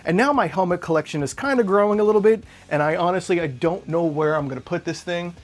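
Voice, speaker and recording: A man's voice: sits high, quick pace. A man hosting an unboxing video, chatting casually and enthusiastically in an unscripted product review style. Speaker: collectibles unboxing video host.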